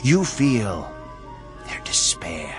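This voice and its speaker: A menacing otherworldly judge in a radio drama. He speaks slowly and deliberately, with cold mockery and clinical questions, his pitch sweeping widely over a music bed. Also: big pitch swings, heavily acted. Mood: quietly menacing. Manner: mocking, measured, mock-clinical questioning. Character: menacing male supernatural judge, coldly tormenting a doctor.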